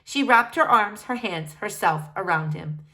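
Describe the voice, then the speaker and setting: A woman narrating a children's book aloud in an expressive storytelling style. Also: mid-range voice, unhurried.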